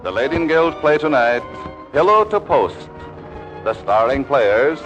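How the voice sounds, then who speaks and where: A man's voice: mid-range voice, big pitch swings, measured pace. A man announcing a 1940s radio show in a showy, proclaiming style. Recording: muffled vintage radio broadcast with music.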